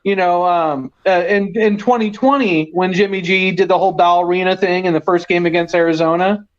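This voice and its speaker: A man's voice: high-pitched, fairly even intonation, a little fast, lo-fi sound. A man from the San Francisco Bay Area calling into a sports show, rambling casually and restarting his sentences a lot, heard over a call line. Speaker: caller.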